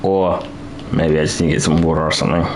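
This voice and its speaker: A man's voice: extremely low pitch, fairly even intonation, quick pace, background noise. A man live-streaming a building game, rambling and thinking aloud with lots of restarts while he is losing his voice.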